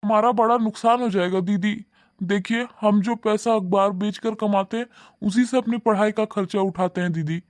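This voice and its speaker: A man's voice: high-pitched, fairly even intonation, normal speed, no echo, clean studio sound, lightly acted. A man voicing a humble, hardworking young male newspaper seller in a cartoon, talking politely and deferentially. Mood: pleading. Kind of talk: cartoon voice acting.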